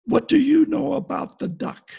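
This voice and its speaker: An actor playing a blunt, wry private detective in an old-time radio play, with clipped noir delivery. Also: male voice, very high voice, lively intonation, moderate pace, gravelly, dark tone, full character voice. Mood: impatient, blunt. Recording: home video-call recording.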